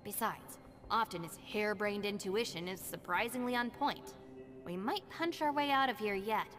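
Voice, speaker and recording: Woman acting level-headed young game heroine, dry, matter-of-fact, mid-pitched, cavernous reverb. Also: moderate pace, over music, natural voice. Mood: dry exasperation. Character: level-headed young woman, exasperated loyal gang deputy.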